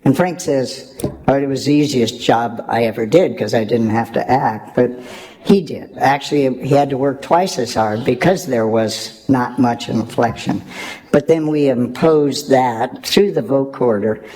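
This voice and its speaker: A man speaking as a sound engineer on a panel, explaining technical work in a measured, halting way. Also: medium pitch, warm tone, rough recording.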